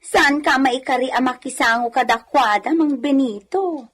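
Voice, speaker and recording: An Ilocano-accented woman acting a sharp-tongued, scornful villager in a radio comedy, scolding and taunting. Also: high-pitched, normal speed. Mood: disdainful. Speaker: actress in a radio comedy drama.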